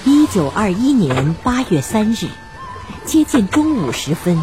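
Female narrator for historical radio drama: measured declamation, animated range, music bed. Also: medium pitch.

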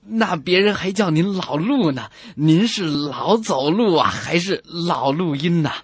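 A woman co-hosting a comedy radio show, trading scripted banter in an animated, bickering manner. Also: lowish voice, measured pace, husky, no echo. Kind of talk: scripted comic banter between radio hosts.

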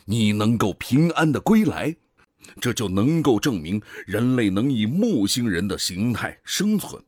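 An actor playing a grave, caring older space station director in a science fiction radio drama, speaking earnestly and with caution. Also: male voice, mid-range voice, very animated, measured pace, a little mellow, no echo, studio quality. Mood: grave concern.

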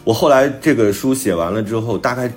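A man's voice: medium pitch, a little fast, soft background music. A middle-aged man talking casually as a novelist, telling stories and acting out bits of quoted conversation. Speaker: novelist guest discussing own book.

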